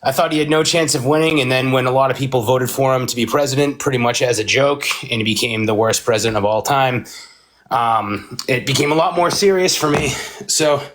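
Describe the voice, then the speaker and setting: Male guest caller, 30s, hoarse voice, earnest and a bit halting, middle pitch. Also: fairly bright tone. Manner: earnest, with hesitant fillers. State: hoarse, recovering from illness. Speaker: call-in guest. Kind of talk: casual chat.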